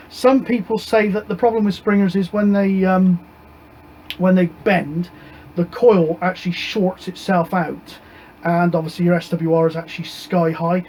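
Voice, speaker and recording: Casting: British man, high voice, casual hobby presenter, hesitant and wandering delivery, some background noise. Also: a little mellow, poor audio. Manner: hesitant, rambling, informal. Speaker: amateur radio hobbyist presenting antenna tests. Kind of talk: casual explanatory vlog.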